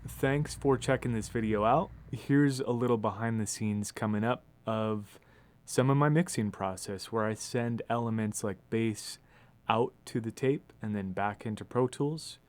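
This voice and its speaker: Man, lower-pitched voice, studio engineer hosting an informal how-to, captured on a phone mic. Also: unhurried, a little mellow. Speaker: recording engineer and studio host. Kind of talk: casual how-to narration over a demonstration. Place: inside a recording studio. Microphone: phone video microphone.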